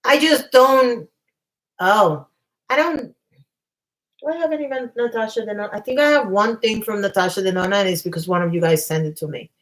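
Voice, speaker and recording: A woman hosting a casual livestream while losing her voice, rambling and often clearing her throat. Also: medium pitch, fairly quick. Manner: chatty, reads viewer comments aloud. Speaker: livestream host.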